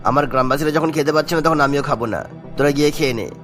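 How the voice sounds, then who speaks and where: A man's voice: fairly high pitch, racing, fairly bright tone, soft background music, close to an everyday voice. A man voicing a kindly, troubled old village headman and father in a cartoon. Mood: hurt, firm. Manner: earnest, caring. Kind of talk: animated moral-tale dialogue.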